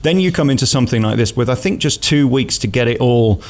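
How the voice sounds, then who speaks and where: A man's voice: mid-range voice, animated, quick pace. An English man interviewing a guest casually for radio, cheeky and blunt while also gushing with praise.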